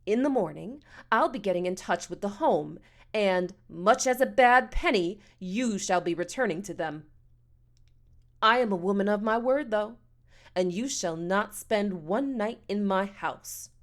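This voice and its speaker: A woman's voice: mid-range voice, expressive, a little slow. A woman voicing a haughty, self-righteous foster mother in an audiobook, with stilted, formal diction and a pompous manner. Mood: indignant, haughty. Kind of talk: audiobook character dialogue.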